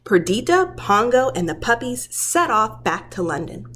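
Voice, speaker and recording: A woman who works as a preschool teacher, reading a children's story aloud in a dramatic, deliberate storytelling manner. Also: fairly high pitch, big pitch swings, a bit bright.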